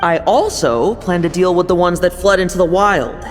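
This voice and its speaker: An actor playing a sly, composed young man in a video game, with a smooth, condescending and theatrical delivery. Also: high voice, moderate pace, natural voice. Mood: coolly knowing. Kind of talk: video game character acting. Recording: long reverb with music behind.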